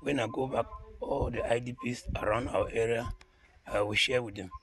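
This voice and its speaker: A man's voice: mid-range voice, normal speed, fairly bright tone, a little hiss or hum. A man giving an unscripted interview answer in plain, short phrases as a seminar participant.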